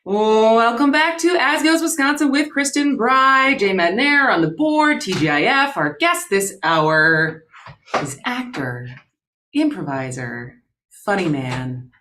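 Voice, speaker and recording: A woman's voice: on the high side, expressive, takes it slowly, sharp tone, slight background noise. A woman with an American accent hosting live talk radio in a casual, bantering style. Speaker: radio show host.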